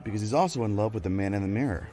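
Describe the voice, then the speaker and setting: A man's voice: lowish voice, racing, mellow, natural voice. An actor playing a bemused, wry male accomplice in a comedic audio drama.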